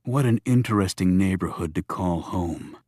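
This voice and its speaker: A man's voice: low voice, normal speed, darkish tone, no room sound. A man narrating a thriller audiobook from a script.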